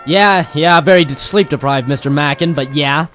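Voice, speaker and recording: A man's voice: on the high side, moderate pace, fairly bright tone, close to an everyday voice. Man playing a hardboiled, weary private eye over music. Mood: groggy, irritated. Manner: wisecracking, theatrical noir delivery. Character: world-weary hardboiled male private detective narrator, ex-Marine. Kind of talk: old-time radio detective drama acting. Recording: music behind the voice.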